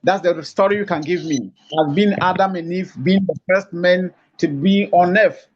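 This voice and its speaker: A man's voice: high voice, normal speed. Hesitant African man on a lo-fi call. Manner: hesitant, many fillers and restarts. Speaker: caller. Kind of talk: halting lecture-like argument. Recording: muffled lo-fi call audio.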